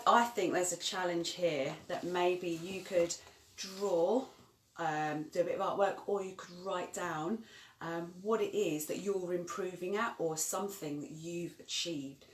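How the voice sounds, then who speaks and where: A woman's voice: on the low side, far from the mic. A woman co-presenting a children's story session, chatting casually and encouragingly to young viewers. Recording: echoey room.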